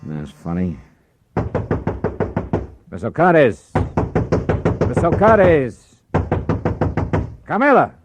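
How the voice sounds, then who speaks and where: Wry radio detective, lively American man. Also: mid-range voice, drawn-out words, pauses often, dull audio, over music, exaggerated voice. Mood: urgent, clipped. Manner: wry, impatient, hard-boiled. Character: brisk, skeptical, wisecracking American male private investigator. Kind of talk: 1950s radio detective drama acting.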